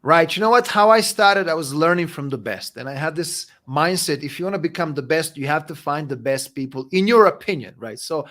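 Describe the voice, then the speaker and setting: A man whose first language is Serbian, answering interview questions in an energetic, emphatic motivational way, often tagging "right?" onto his points. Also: fairly high pitch, fairly quick, hardly any pauses. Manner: energetic, emphatic, repeats "right?" and "you know what?". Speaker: guest speaker and coach.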